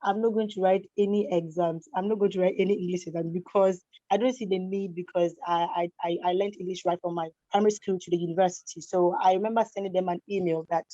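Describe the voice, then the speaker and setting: A woman's voice: lowish voice, fairly even intonation, quick pace, hardly any pauses, mellow, no room sound. A woman speaking as a panelist on an online call, giving direct advice and repeating her points for emphasis. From Ghana. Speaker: scholarship recipient panelist. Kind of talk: online webinar talk. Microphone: video call. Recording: dull, dry lo-fi call audio.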